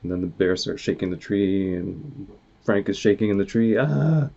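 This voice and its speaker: A man's voice: sits low, fairly even intonation, moderate pace, mellow. A man reading a comic story aloud in a casual way, acting out the dialogue and noises. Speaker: author reading their own comic aloud. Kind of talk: animated storybook read-aloud with sound effects. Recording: dull, muffled lo-fi sound.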